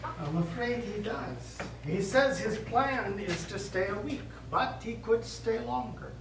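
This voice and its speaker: Man playing a gentle priest, roomy and distant. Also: high voice, expressive, exaggerated voice. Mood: careful, apologetic. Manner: measured, sympathetic, formal. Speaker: stage actor. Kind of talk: live stage play dialogue. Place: small indoor theatre studio, recorded from audience distance. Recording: roomy, distant stage recording with background noise.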